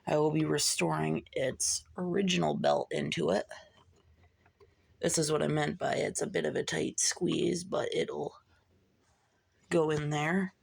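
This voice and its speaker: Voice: mid-range voice, animated, unhurried, gravelly, pauses often, husky. A hobbyist narrating a hands-on repair casually and informally, muttering asides while working. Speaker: hobbyist repairer showing a fix.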